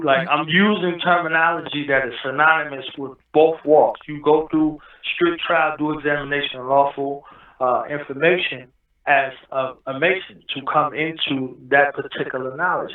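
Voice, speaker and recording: A man's voice: fairly high pitch, steady tone, moderate pace. A man explaining his views at length, and he keeps checking in with "you understand what I'm saying." His voice arrives thin and phone-like, far from the mic in a very echoey space. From Newark, New Jersey. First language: Arabic. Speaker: guest. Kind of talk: casual interview talk. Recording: echoey, distant phone-line audio.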